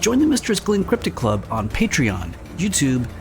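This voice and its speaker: A man's voice: a little high, wildly swooping pitch, normal speed. A man announcing the credits of a podcast in a scripted read. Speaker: announcer reading show credits. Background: clear background music.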